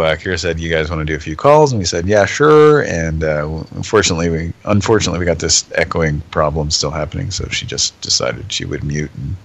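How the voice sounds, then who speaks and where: Host: very low, gravelly man, congested, rambling. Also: fairly bright tone. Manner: rambling, halting. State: stuffed up from a cold. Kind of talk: casual live show chat.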